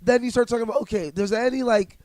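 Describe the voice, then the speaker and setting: Fast, high-voiced, blunt man. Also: a little mellow, dry recording. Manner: blunt, opinionated. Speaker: podcast co-host. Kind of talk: casual opinionated banter.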